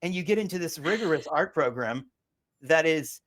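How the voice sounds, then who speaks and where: A woman's voice: deep voice, expressive, moderate pace. Chatty rambling woman in her forties. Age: 40s. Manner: rambling, chatty, many fillers and restarts. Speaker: host. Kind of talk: casual interview chat.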